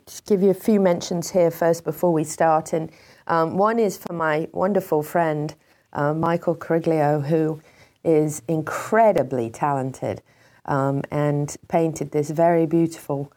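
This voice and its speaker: A woman with a British accent hosting a horse talk show, her voice a little froggy and congested, talking with many pauses and ums. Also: sits low, mellow. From Britain. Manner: hesitant, many ums, heartfelt. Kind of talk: casual talk show monologue.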